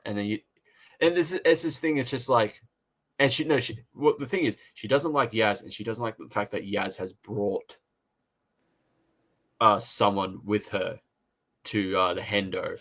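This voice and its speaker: Man, low voice, casual review chat, rambling, repetitive phrasing, podcast co-host. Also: measured pace, long pauses, fairly bright tone.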